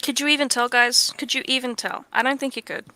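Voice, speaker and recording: Female livestream panelist, high voice, very bright and forceful, teasing casual chat. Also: fairly even intonation, brisk.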